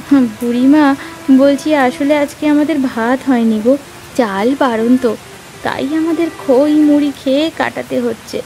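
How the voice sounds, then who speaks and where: A humble village wife, hospitable and poor, in a moral cartoon. She speaks high, with music clearly behind her. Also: moderate pace, dark tone. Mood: welcoming. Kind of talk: children's moral cartoon dialogue.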